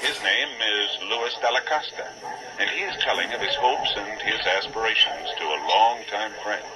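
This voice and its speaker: A man's voice: on the low side, normal speed, very noisy, close to an everyday voice. A man narrating a 1940s radio drama in a solemn, declamatory style. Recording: old radio broadcast, loud music behind.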